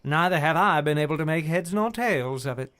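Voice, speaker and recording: A formal, high-pitched, animated gentleman. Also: fairly quick, clear, resonant tone. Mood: formal, courteous. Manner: courteous, orderly, articulate. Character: formal, exasperated gentleman chairing an estate hearing. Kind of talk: radio play acting.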